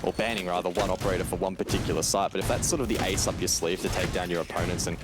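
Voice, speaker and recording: A man's voice: deep voice, fairly even intonation, very fast, over loud music. A man giving live, analytical commentary as an esports caster. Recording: clear game gunfire noise behind voice. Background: video game gunfire and clicks.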